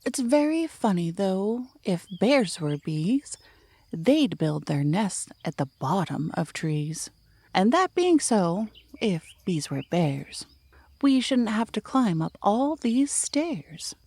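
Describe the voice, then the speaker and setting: A woman voicing an earnest, naive, honey-loving male toy bear in a storybook, reasoning slowly and repetitively. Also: medium pitch, sing-song, a little mellow, no echo, exaggerated voice. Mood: singsong.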